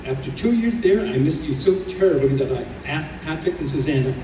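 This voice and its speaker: An animated, somewhat high male voice, an actor playing a devoted, teasing young man in old-time radio drama. The sound is echoey and a little distant, as from a live stage. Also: brisk, natural voice. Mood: fond. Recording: roomy live stage recording, distant, faint noise.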